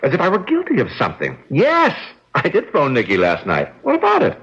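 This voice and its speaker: An actor playing a defensive, self-justifying brother in a radio mystery, blustering and dramatic. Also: male voice, fairly high pitch, wildly swooping pitch, a little fast, a bit bright, heavily acted. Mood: indignant. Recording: dull lo-fi radio.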